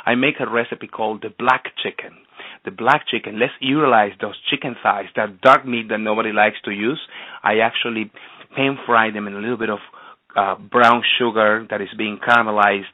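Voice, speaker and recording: A man from Venezuela speaks with earnest enthusiasm in a lowish voice, sometimes stumbling and repeating words as he explains himself. He comes through a tinny phone line with a dry sound. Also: dry recording. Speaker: chef and restaurant owner, guest. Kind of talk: phone-in radio interview.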